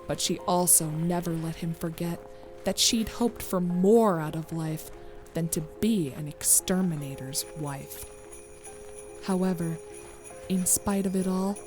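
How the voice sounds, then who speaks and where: A woman's voice: on the low side, a little mellow. A woman narrator reading a scripted story.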